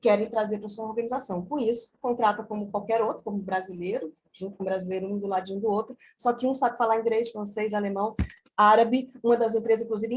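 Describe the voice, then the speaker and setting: Woman author, fast and animated, presenting over a video call with a faint noise floor. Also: medium pitch, poor audio. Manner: energetic, conversational, many asides. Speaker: book author presenting a chapter. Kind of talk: online book launch talk.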